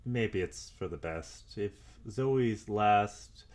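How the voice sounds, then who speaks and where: A man playing a wary, methodical amateur investigator dictating audio logs in an audio drama, speaking deliberately and with some hesitation. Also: fairly low pitch, a little mellow. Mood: wary, pondering. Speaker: podcast drama actor. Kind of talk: audio log monologue, audio drama.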